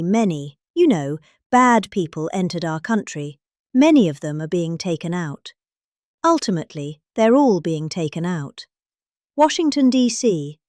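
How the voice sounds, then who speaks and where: A woman's voice: lowish voice, darkish tone, dry recording, studio quality. A middle-aged woman with a British accent giving a dramatic reading of a rambling political speech, with exaggerated emphasis.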